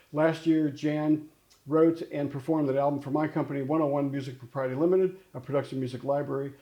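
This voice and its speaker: A man's voice: mid-range voice, mellow. A middle-aged or older man hosting an interview in a conversational style. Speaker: interview host.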